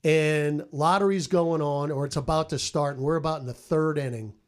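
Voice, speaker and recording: American guy sportscaster telling a story on a podcast, voice a bit on the high side. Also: fairly even intonation, moderate pace. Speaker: sports broadcaster. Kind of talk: animated storytelling on a podcast roundtable.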